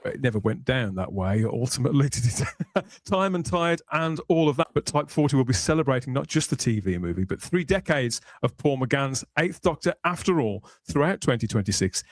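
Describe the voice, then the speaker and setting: A man's voice: mid-range voice, very animated, normal speed, no echo. A man hosting a podcast, moving between conversational talk and polished scripted narration with wordy, theatrical phrasing. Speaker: podcast host.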